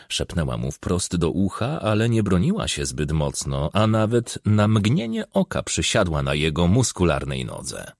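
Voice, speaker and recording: A man narrates an audiobook in a gravelly, husky voice on the low side. His pitch moves dramatically as he reads the story, and the sound is dry and close. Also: dry recording. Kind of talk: scripted fiction narration.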